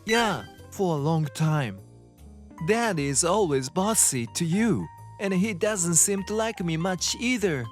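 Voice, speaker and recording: A woman voicing a sweet, timid young boy with a soft, hesitant manner in a manga dub. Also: on the low side, lively intonation, takes it slowly, faint music behind. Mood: hesitant, sad.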